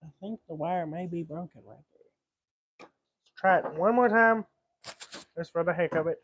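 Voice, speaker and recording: A man with a fairly high voice talks very slowly, as if thinking out loud. He speaks casually in short, muttered fragments, like a livestream host narrating a hands-on repair to himself. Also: highs cut off, a little hiss or hum. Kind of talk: casual live repair commentary, thinking aloud.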